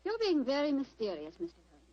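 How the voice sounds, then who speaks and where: A woman's voice: high-pitched, wildly swooping pitch, quick pace, no room sound. An actress playing a haughty, anxious married woman in a theatrical, emotional old-time radio melodrama style. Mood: suspicious, coy. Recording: muffled old radio recording.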